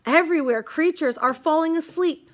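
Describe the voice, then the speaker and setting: A woman reading a rhyming children's picture book aloud in a measured, singsong way. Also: high voice. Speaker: storybook reader.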